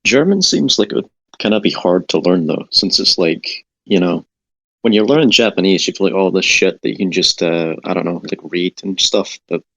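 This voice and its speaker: Man, brash joker on voice chat, low voice, dry close sound. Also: normal speed, gravelly, fairly bright tone, no echo, rough recording. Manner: brash, profane, joking. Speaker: discord chat participant. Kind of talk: casual voice chat. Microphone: home computer mic on voice call.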